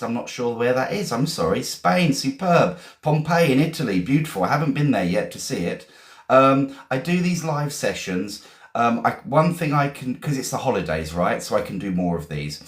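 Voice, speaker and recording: An English man teaches vocabulary on a live stream, warmly greeting viewers and asking the same question again and again. His voice sits low but swings widely up and down. It rings a little in a roomy space. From England. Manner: chatty and encouraging, greets viewers by country; repeats questions, slows to spell words out.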